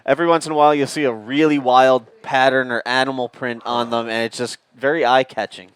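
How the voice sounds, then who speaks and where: A man with a booming voice giving joking live play-by-play commentary. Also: flat delivery, fairly quick, clear, resonant tone, no echo. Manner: booming voice, joking, explains details.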